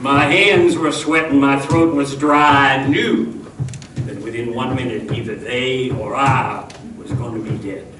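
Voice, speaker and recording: Man as comic Western gunslinger narrator, dramatic deadpan storytelling, old-time radio show, echoey room, far from the mic. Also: mid-range voice, a little slow, lightly acted. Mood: mock-solemn. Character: comic cowboy lawman narrating his showdown, dryly boastful. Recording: echoey stage, distant mic, clear noise.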